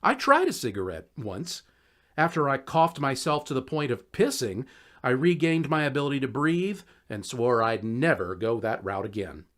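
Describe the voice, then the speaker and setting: A man reading a scripted first-person story in a wry, conversational, expressive way. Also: mid-range voice, moderate pace. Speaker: audiobook narrator.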